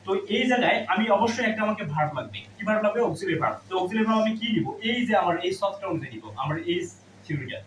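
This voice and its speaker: A man's voice: high voice, animated, racing, a bit bright. A man teaching a grammar lesson step by step, asking questions and answering them himself. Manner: instructive, asks and answers own questions. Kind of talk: classroom lesson, explaining step by step. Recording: roomy, distant mic, faint background noise.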